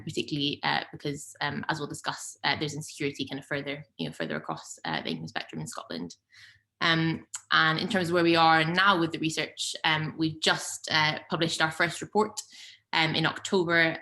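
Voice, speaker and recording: A woman's voice: deep voice, sharp tone. A young woman giving an online seminar talk over a video call, hesitant with frequent ums and uhs. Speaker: invited seminar speaker, policy researcher. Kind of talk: presenting slides in an online seminar.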